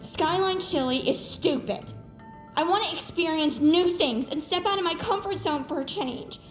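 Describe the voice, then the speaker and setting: A woman's voice: very high voice, normal speed. A woman playing a fed-up teenage girl who speaks her mind earnestly and emotionally in an audio drama. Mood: hurt, earnest. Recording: roomy echo with music underneath.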